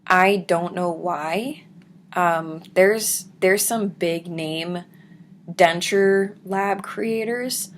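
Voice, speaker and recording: A young woman with a deep, raspy voice, chatting casually to her viewers at home and slipping into soft whispers. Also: extremely slow, a little hiss or hum. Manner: soft-spoken, drifts into whispers. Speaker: vlogger. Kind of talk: casual chat reading viewer comments.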